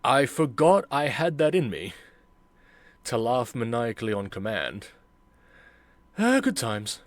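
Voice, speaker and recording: A man hosting a casual reaction video as an amateur voice actor, talking in deliberate, halting phrases. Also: medium pitch, sing-song, no echo.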